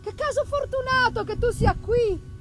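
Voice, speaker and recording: A woman's voice: very high-pitched, unhurried, loud music behind the voice, voice pushed up. A woman voicing a joyful, affectionate young man in a storybook reading, with effusive, exclamatory delivery. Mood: delighted, effusive.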